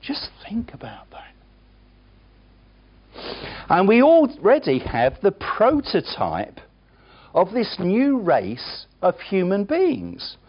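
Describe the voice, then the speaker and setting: Preacher, sermon delivery, very lively pitch swings, unhurried, on a dull lo-fi recording. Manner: emphatic preaching with chatty asides.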